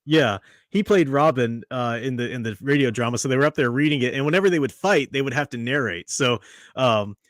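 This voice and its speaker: A man's voice: medium pitch, brisk, fairly bright tone, no echo. Guy hosting a live show, rambling and jokey, keeps cutting himself off, dry close sound. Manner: rambling, self-interrupting, joking. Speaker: podcast host. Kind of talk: casual live stream chat.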